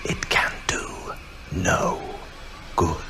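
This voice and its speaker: An old vampire master, radio play, super slow creepy deep voice, music behind. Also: male voice. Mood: silky menace. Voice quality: breathy, whispery. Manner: slow, deliberate, formal. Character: ancient male vampire master, courtly, menacing, seductive. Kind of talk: radio drama acting.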